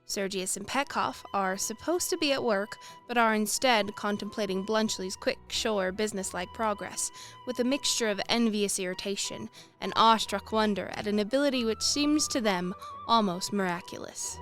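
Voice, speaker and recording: A woman's voice: mid-range voice, normal speed, a bit bright. Young woman announcer, music underneath. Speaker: announcer and narrator. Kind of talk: scripted narration.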